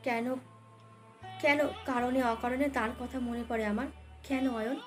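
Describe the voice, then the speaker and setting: A woman's voice: fairly high pitch, steady tone, a little slow. A woman narrating a dramatic romance story as a scripted audio tale. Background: background music.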